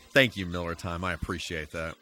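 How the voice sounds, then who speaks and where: Bright-voiced man chatting casually on stream. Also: sits low, slight background noise. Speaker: live streamer. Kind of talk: casual live game-stream chat. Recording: music playing behind the voice. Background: music.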